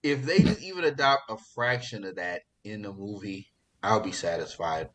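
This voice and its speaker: A man's voice: on the low side, normal speed. Casual, slangy man chatting on a podcast. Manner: slangy, profane, excitable. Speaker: podcast host. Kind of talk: casual pop culture chat.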